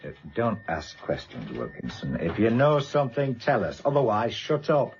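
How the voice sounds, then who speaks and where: Low, sardonic British police inspector. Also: male voice, big pitch swings, moderate pace, a little mellow, slightly rough, highs cut off, soft background music, full character voice. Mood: exasperated. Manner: sardonic, blunt, probing questions. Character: wry, probing senior male police inspector, gruffly sardonic. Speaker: police inspector questioning suspects and directing subordinates. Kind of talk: British radio drama acting.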